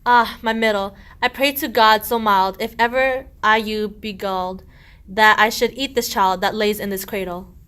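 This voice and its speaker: A woman's voice: mid-range voice, fairly even intonation, moderate pace, fairly bright tone, clear, resonant tone, lightly acted. A woman playing an irritable wife feigning agony after childbirth, groaning melodramatically in a verse radio play. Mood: agonized.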